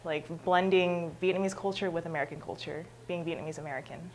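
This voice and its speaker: A young woman from Texas talks about herself unrehearsed, her voice sitting a little low. She is earnest and reflective, reaching for words with frequent "like" and "um". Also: steady tone, fast, slight background noise, low-quality recording. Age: young adult. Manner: earnest, leans on "like" and "um". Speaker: student interviewee. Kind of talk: unscripted reflective answers.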